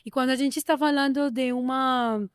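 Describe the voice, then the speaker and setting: A woman hosting a podcast interview, asking measured, thoughtful questions with frequent restarts. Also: high-pitched, fairly even intonation, clear, resonant tone, no room sound, clean studio sound. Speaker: podcast host. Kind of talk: interview conversation.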